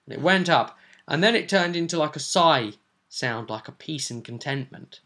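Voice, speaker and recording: A man hosting as game master, casually recounting a tabletop roleplay session in an animated storytelling way, often ending on tag questions. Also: on the high side, normal speed. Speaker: game master and show host.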